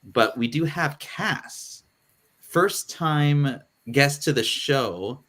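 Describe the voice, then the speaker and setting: A man's voice: a little high, lively intonation, drawn-out words, fairly bright tone. A man hosting a live podcast panel, talking loosely with lots of fillers and restarts. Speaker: podcast host. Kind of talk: casual live panel chat.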